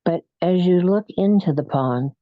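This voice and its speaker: A person leading a guided meditation in a soothing, unhurried, hypnotic manner with frequent pauses. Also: mid-range voice, dry recording, lo-fi sound. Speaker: guided imagery meditation leader.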